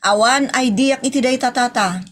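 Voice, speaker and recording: A woman's voice: on the high side, normal speed, close to an everyday voice. A woman playing a defensive young woman with an Ilocano accent in a comedy audio drama, insistently denying things. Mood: stubborn denial. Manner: flat-out, insistent denials.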